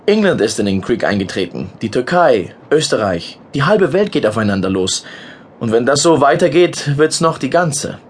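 An actor playing a polite, talkative war correspondent, hesitating, apologizing and slipping into English words in an audio drama. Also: male voice, medium pitch, big pitch swings, normal speed, a little hiss or hum, lightly acted. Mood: skeptical, grave.

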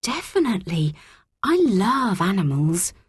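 A woman reader puts on a small, shy schoolgirl for a children's story. She is gentle and timid, with lively pitch and a close, dry sound. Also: a little slow, husky, dry recording, exaggerated voice. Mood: keen.

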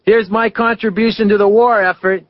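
A man's voice: high-pitched, fairly even intonation, normal speed, no room sound, muffled recording, voice pushed up, close to an everyday voice. A man voicing a bold, exuberant teenage schoolboy who goads his friends on, in an audiobook. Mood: daring. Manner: daring, exuberant, goading. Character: bold, brash, eager seventeen-or-younger schoolboy athlete. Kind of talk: audiobook character dialogue.

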